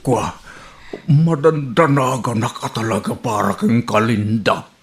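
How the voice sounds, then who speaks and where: A man's voice: mid-range voice, unhurried, a little hiss or hum, natural voice. A man acting a timid old suitor in an Ilocano radio comedy drama, hesitant and stammering, with an Ilocano accent. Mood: nervous.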